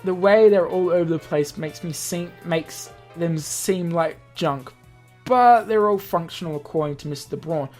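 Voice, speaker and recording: A man's voice: a little high, measured pace, darkish tone, soft background music. A man reading a visual novel aloud as a let's player, stumbling over lines and adding casual explanatory asides. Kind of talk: game narration with casual asides.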